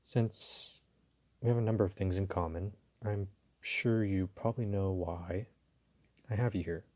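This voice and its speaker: Deep-voiced, courteous mafia boss, played by a man. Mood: apologetic. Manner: polite, unhurried, teasing, hesitant phrasing. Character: smooth, courteous, flirtatious male mafia boss, dominant. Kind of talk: intimate audio roleplay addressed to the listener.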